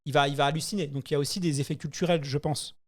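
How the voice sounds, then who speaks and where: A French man co-hosting a casual chat, joking and quick, often restarting his sentences. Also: a little high, fairly even intonation. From France. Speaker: co-host.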